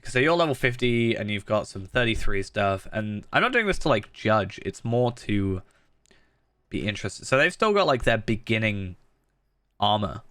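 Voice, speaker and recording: Bright-voiced man, stuffy nose. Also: lowish voice, sing-song, normal speed, no room sound. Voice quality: slightly nasal. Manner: chatty, thinking aloud with many fillers and restarts. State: blocked nose. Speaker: game streamer. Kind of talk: casual live-stream commentary while playing.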